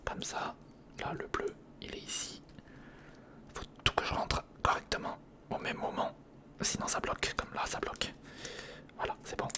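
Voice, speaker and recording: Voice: normal speed, pauses often. An ASMR host whispering breathily and close to the mic, thinking aloud to the listener through a step-by-step build. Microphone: close recorder or studio condenser microphone.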